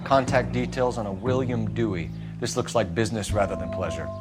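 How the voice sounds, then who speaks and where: A male actor playing a hard-nosed American police detective with a clipped, forceful interrogating manner in a noir crime video game. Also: lowish voice, brisk, lightly acted. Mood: brisk, businesslike. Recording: background music under dialogue.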